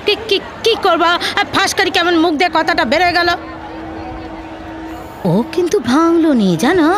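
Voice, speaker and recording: A woman's voice: very high voice, moderate pace, music in the background, a crowd in the background. A woman playing a flustered, naive, talkative housemaid in an audio drama, with a rural West Bengali accent and a folksy, hesitant manner.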